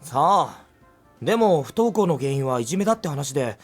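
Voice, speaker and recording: An actor playing a blunt, informal, crude male high school student in an anime-style game. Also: very animated, rapid-fire. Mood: casual, blunt. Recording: game music behind.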